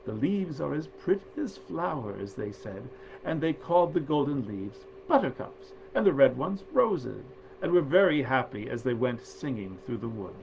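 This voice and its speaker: A man reading nineteenth-century fairy tales aloud in a measured, expressive storyteller's manner. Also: medium pitch, vocal fry, slight background noise, low-quality recording. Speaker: actor reading stories aloud.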